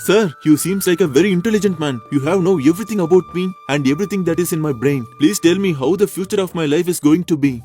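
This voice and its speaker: A man's voice: sits high, very fast, few pauses, warm tone, faint music behind, natural voice. A man voicing an earnest young traveler who pleads and asks questions in a cartoon story. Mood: pleading. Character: anxious young male traveler, curious and pleading. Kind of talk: cartoon voice acting.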